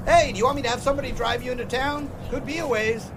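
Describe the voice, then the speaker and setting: Man playing high-voiced, jaunty 1920s test pilot. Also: fast talker, noisy background. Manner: jaunty, chummy. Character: breezy, confident 1920s male test pilot. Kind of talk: audio drama acting.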